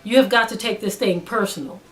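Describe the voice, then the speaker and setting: A woman's voice: medium pitch, a little fast, a bit bright. A woman speaking as a religious teacher in a sermon style, earnest and emphatic, urging listeners with repeated phrases. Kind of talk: sermon-like spoken exhortation.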